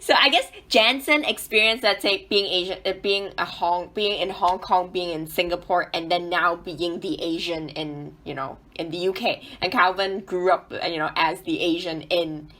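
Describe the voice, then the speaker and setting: Low-voiced woman steering the chat. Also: moderate pace, hardly any pauses, pushed and bright. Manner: asks questions, steers the talk. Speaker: podcast co-host. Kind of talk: casual podcast chat.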